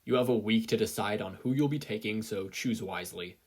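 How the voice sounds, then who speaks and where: Narrator playing a jaded man teacher, dry, unimpressed, middling pitch, husky, American, story reading. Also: brisk, close to an everyday voice. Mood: stern. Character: gruff, weary, unimpressed male teacher.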